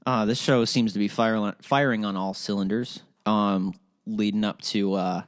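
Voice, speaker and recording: A man's voice: lowish voice, steady tone, measured pace, dry recording, clean studio sound. A young man from Ohio with a Midwestern accent, hosting a solo podcast in a rambling, profane, casual way. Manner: rambling, profane, full of fillers and restarts. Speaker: podcast host.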